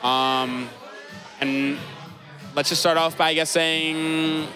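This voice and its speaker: A man hosting a casual podcast interview at a live event, relaxed and quick to affirm. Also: a little high. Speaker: podcast host. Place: busy venue with a DJ playing music. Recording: live event with music behind.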